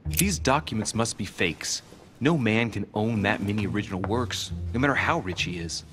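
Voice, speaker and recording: Actor as earnest young gentleman investigator, low-pitched. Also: expressive, normal speed, a crowd in the background, natural voice. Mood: intrigued. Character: eager, inquisitive young gentleman investigator searching a manor. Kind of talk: video game cutscene acting.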